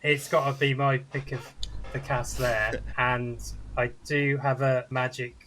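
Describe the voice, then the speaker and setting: A man's voice: mid-range voice, flat delivery, unhurried, a bit bright. Enthusiastic man rambling about films. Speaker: podcast co-host and film reviewer. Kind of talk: casual film review chat.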